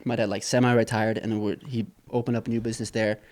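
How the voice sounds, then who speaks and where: A man's voice: fairly low pitch, quick pace. A young man from Saipan, a business owner, telling his story in a casual interview with loose, rambling talk full of fillers. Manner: relaxed, rambling, many fillers and restarts. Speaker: entrepreneur guest.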